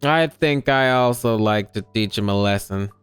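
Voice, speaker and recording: A gamer giving casual, irreverent playthrough commentary, with sweary deadpan asides and reading along in conversation. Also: medium pitch, normal speed, smooth, faint music behind. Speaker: gamer reacting to a story quest.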